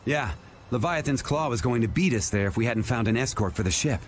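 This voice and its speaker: A man's voice: medium pitch, lively intonation, a little fast, a little hiss or hum, close to an everyday voice. A man voicing a serious trade aide aboard a ship in a video game dub. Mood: disgruntled.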